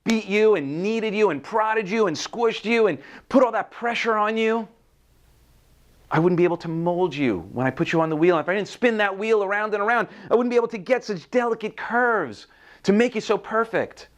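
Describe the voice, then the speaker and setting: A patient master potter from a storybook parable, voiced by a man. He is calm and firm, explaining himself in measured phrases with expressive intonation. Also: high-pitched, pitched above the performer's own voice. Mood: earnest, reassuring. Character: calm, stern but loving master potter.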